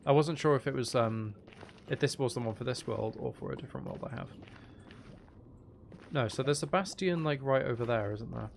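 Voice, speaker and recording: A man's voice: mid-range voice, big pitch swings, brisk, gravelly, a little hiss or hum. A man giving casual gameplay commentary, rambling and thinking aloud to himself as a gaming video host.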